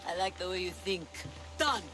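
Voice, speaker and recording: A woman's voice: lowish voice, lively intonation, moderate pace, music in the background, natural voice. A woman playing a sly, commanding pirate leader in a video game, with theatrical delivery. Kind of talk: video game cutscene acting.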